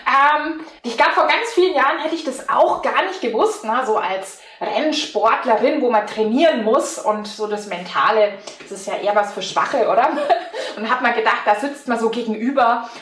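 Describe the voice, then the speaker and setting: A woman in her forties from Franconia with a Franconian accent, a mental coach chatting in an interview, laughing often and filling pauses. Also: a little high, expressive, fairly bright tone, roomy sound. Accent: Franconian German. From Franconia, Germany. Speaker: mental coach and riding technique trainer. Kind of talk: casual interview answers.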